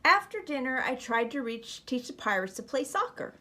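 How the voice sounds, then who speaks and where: A woman storyteller reading a children's picture book aloud with expressive, emphatic phrasing. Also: sits high, normal speed.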